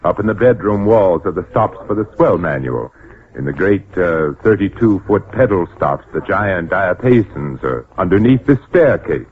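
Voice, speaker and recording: An actor playing a cultured, courtly widower with a fervent passion for pipe organs, in a theatrical old-time radio style. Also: male voice, low-pitched, lively intonation, normal speed. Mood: rapt enthusiasm. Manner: suave, formal, effusive, theatrical. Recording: tinny, band-limited old radio recording with music.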